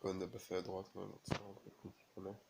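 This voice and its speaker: Male gamer with a cold, low monotone, casual halting play-by-play. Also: creaky, noisy background. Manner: halting, many fillers and restarts. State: has a cold, sneezing. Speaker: gaming video creator. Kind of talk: casual game commentary.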